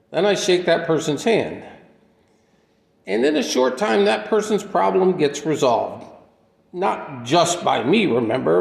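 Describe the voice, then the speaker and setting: A man playing an aging, modest, wistful drifter in a radio play, speaking in a halting, plainspoken, reflective way. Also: on the high side, gravelly, darkish tone, full character voice. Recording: echoey hall-like reverb.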